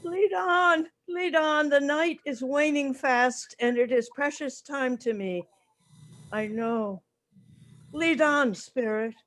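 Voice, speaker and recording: A woman's voice: sits high, takes it slowly, fairly bright tone. A woman playing a fearful, humbled old male miser in a dramatic story reading, solemn and earnest. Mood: urgent.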